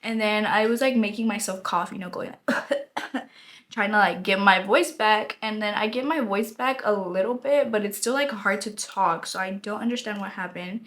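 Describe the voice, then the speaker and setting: A woman in her twenties, her voice raspy from a lost voice, chatting casually while doing her makeup, laughing often. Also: medium pitch, moderate pace, a bit bright. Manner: chatty, rambling, laughs often, says y'all. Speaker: beauty vlogger. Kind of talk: casual get-ready-with-me chat.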